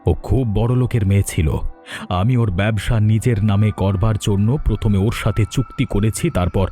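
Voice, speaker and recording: A man's voice: lowish voice, steady tone, moderate pace, warm tone, lightly acted. A man playing a greedy, murderous grown son in a horror cartoon, menacing, defiant and theatrical. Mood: cold, threatening. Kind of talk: melodramatic horror cartoon acting. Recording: faint music behind the voice.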